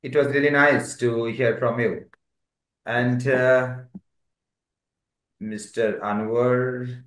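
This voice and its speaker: A man's voice: mid-range voice, steady tone, takes it slowly, long pauses, a bit bright. A man teaching an online spoken English class, calling on students and praising their answers. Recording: roomy echo.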